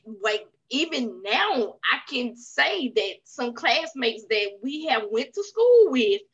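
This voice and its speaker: A woman co-hosting a casual podcast who agrees quickly and jumps in with overlapping bursts of talk. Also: a little high, very animated, a bit bright, slightly rough, highs cut off. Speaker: podcast co-host.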